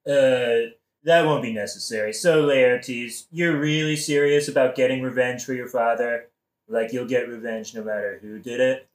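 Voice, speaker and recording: A man playing a smooth-talking, scheming king in a satirical audio play, with a glib modern delivery. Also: medium pitch, fairly even intonation, moderate pace, natural voice. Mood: placating, self-satisfied. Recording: roomy, echoing audio-play recording.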